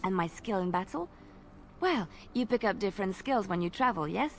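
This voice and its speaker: A devout young minstrel woman from a fantasy game, played by an actress, speaks in formal, storytelling phrases. She is coy and wistful, with a middle-pitched voice over faint background ambience. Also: lively intonation, normal speed, a little hiss or hum, close to an everyday voice. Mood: pleasant. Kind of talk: video game dialogue acting.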